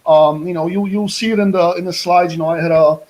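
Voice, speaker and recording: Polish man with a high voice, coach. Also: fast, dull audio. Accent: Polish with a mid-Atlantic English lilt. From Poland. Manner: energetic, many restarts and repeated words. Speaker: American football coach. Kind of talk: guest presentation on a video call. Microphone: video call.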